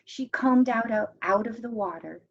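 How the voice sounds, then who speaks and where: A woman teacher reading a story aloud expressively, with deliberate dramatic pauses and hushed phrases. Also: fairly high pitch, vocal fry, husky, lo-fi sound.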